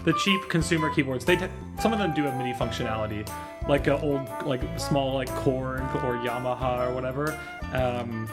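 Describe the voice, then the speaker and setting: A man giving casual live game commentary, rambling and thinking aloud. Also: medium pitch. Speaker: streamer. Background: game music.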